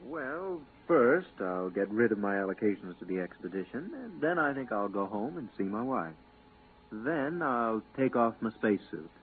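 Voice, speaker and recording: A man's voice: on the low side, very animated, normal speed. An actor playing a homesick married spaceman, plain-spoken and earnest, in 1950s radio drama style. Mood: wry, hopeful. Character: wistful married spaceman, quietly homesick crewman. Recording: tinny, hissy 1950s radio recording.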